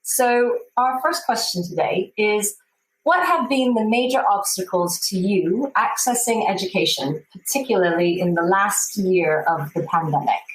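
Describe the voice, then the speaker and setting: A woman moderating an online panel, calling on speakers with encouraging, gently hesitant prompts. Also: medium pitch, unhurried, echoey room, low-quality recording. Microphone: video call.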